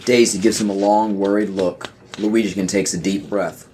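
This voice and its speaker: A man's voice: fairly low pitch, fairly even intonation, normal speed, warm tone, slight background noise. A man reading scripted scene directions as the narrator of an audio play.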